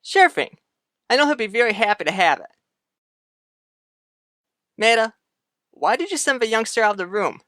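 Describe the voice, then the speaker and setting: A woman's voice: on the high side, big pitch swings, normal speed, pauses often, fairly bright tone, no room sound, studio quality. A woman voicing an affable but defensive grown man with a folksy manner in a radio soap opera.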